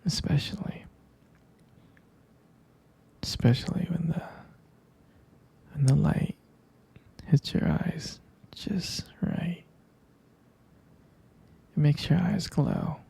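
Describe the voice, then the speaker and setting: A man speaks in a tender, adoring boyfriend ASMR murmur, slow and very soft. His voice is extremely breathy and husky, slipping into whispers, with heavy vocal fry running through it. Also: a little high. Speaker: ASMR roleplay creator. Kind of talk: intimate boyfriend roleplay ASMR.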